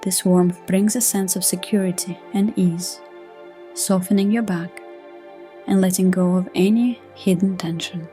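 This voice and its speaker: A woman reading a scripted sleep hypnosis session in a gentle, hushed, soothing manner. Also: fairly low pitch, steady tone, unhurried. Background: soft new-age music.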